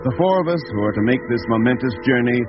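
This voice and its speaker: A man's voice: mid-range voice, animated, lightly acted. A man playing a composed crew doctor who narrates formally in a 1950s radio drama. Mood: composed, grave. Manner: measured, formal storytelling. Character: composed male doctor, crewman narrating his voyage. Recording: muffled 1950s radio recording with background music.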